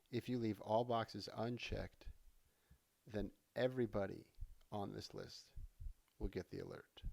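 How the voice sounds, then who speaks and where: A man narrating a software tutorial in a casual, conversational way, explaining things step by step as an instructor. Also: fairly low pitch, vocal fry. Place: voice-over for a screen recording.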